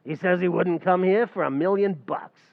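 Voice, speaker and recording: A man's voice: high voice, normal speed, creaky. A man playing a brash, scheming tavern manager in an old-time radio comedy recreation, wisecracking and mangling big words. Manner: wisecracking, malaprop-prone, brash. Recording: tinny, phone-like recording.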